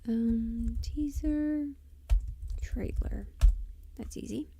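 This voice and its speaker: A woman hosting a casual livestream, chatting in a halting, distracted way and half muttering to herself as she works. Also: a little high, steady tone, dark tone, husky. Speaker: livestream host and audio performer. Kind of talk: casual livestream chat, muttering while working at a computer.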